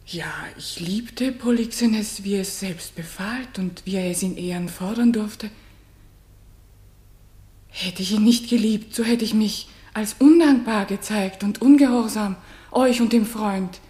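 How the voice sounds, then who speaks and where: An actress playing a proud, patient, wronged queen in a classical radio play, speaking in measured, solemn declamation. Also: mid-range voice, warm tone, echoey room, close to an everyday voice. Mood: proud, pained. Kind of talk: classical German radio play stage acting.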